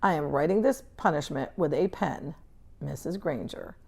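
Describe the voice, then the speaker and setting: Storybook schoolmistress, performed by a woman: stern, older, precise and controlled, with gravelly edges. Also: low voice, a little mellow, exaggerated voice. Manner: measured, precise, formal. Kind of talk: storybook read-aloud character dialogue.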